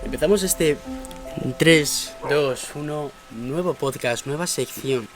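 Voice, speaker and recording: Upbeat podcast host. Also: male voice, on the high side, music in the background. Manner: upbeat, quick to laugh. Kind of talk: casual podcast chat.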